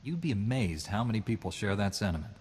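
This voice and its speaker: A man's voice: fairly low pitch, big pitch swings, fast, darkish tone, husky, in a large hall. A man voicing a dry, sardonic male starship captain in a video game. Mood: wry.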